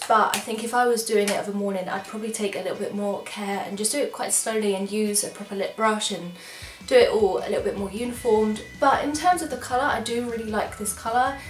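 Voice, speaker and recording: A woman's voice: medium pitch, steady tone, brisk, reverberant, a little hiss or hum. A young English woman with a stuffy, congested voice from a cold, chatting enthusiastically as a beauty vlogger trying on products. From England. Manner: chatty, enthusiastic, apologetic asides. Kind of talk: casual product try-on chat.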